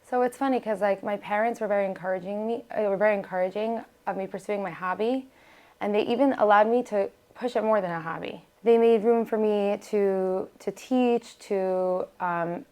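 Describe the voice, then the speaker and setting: A woman's voice: mid-range voice, steady tone, normal speed, a little mellow. An American woman whose first language was Hebrew, chatting as a podcast guest with lots of fillers and restarts. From United States. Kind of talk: casual interview talk.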